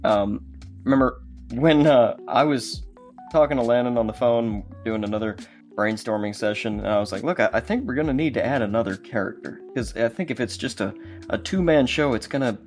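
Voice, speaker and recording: A man's voice: medium pitch, a little fast, a little mellow. A man chatting casually as an audio drama creator, acting out remembered exchanges as he tells behind-the-scenes stories. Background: guitar music.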